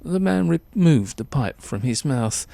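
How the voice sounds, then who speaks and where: A man's voice: mid-range voice, animated, unhurried, creaky, mellow, no room sound. A man narrating a suspenseful short story in the second person, with theatrical delivery.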